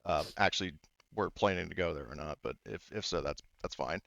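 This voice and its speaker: A man narrating a tabletop game casually as game master, in a rambling, halting way with frequent self-interruptions. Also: deep voice, gravelly, fairly bright tone, no room sound, dull audio.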